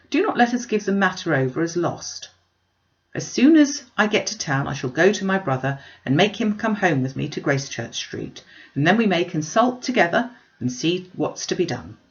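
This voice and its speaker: A woman voicing a calm, sensible middle-aged gentleman in a classic novel audiobook, firmly reassuring. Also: lowish voice, highs cut off. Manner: firm, measured reassurance.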